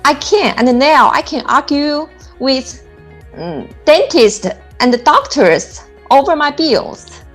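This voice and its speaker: A woman who is an adult English learner gives a testimonial, speaking haltingly and pausing to think. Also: sits high, big pitch swings, a bit bright, faint music behind. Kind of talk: testimonial interview.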